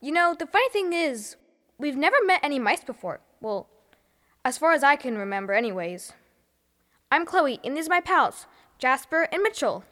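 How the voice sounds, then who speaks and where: A woman's voice: high voice, very animated, moderate pace, a bit bright, big-room sound. A woman voicing a bold, confident young girl with an upbeat, bossy way of talking.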